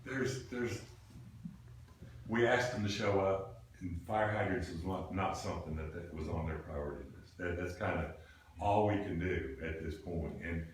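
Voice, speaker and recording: Very deep-voiced older man, distant mic. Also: moderate pace. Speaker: committee member presenting a proposal. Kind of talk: informal explanation at a public meeting. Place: small indoor meeting room. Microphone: camera microphone across the room. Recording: roomy, distant mic with background noise.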